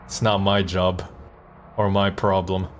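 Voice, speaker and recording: A man's voice: deep voice, level tone, unhurried, slight background noise. A man who streams and voice acts, chatting informally and thinking aloud in hesitant bursts. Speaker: streamer and comic dub voice actor. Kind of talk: casual livestream chat.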